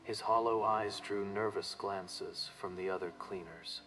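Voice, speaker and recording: A man's voice: on the low side, fairly even intonation, unhurried, a bit bright, faint music behind, rough recording. A man narrating a dramatic manga story from a script.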